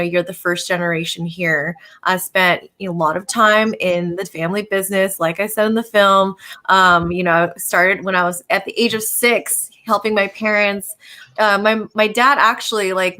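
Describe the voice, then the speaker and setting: Bright-voiced chatty woman from Los Angeles. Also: medium pitch. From Los Angeles, United States. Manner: chatty, many fillers like "you know" and "like". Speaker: panel guest, business owner. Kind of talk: casual panel discussion.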